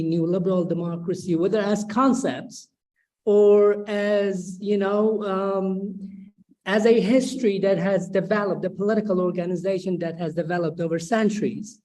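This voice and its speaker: A woman from Sweden giving spontaneous academic remarks, deliberate and emphatic, repeating phrases for stress. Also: mid-range voice, mellow, poor audio. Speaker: symposium host, social scientist. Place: online video symposium.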